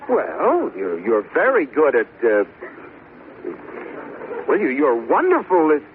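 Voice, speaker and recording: Placating, stammering sitcom husband, very animated, on thin, noisy vintage radio recording. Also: male voice, a little high, slow, heavily acted. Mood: flustered reassurance. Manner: stammering, coaxing, exasperated. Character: flustered, placating young banker husband. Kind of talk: 1950s radio sitcom acting. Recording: noisy, narrow old radio broadcast.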